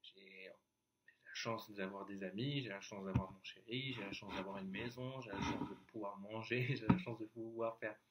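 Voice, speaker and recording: A young man talking to his viewers in a casual, confessional vlog, hesitant and halting, often restarting and trailing off. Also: lowish voice, steady tone, heavy background noise. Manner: addresses the viewer directly, informal. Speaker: YouTuber.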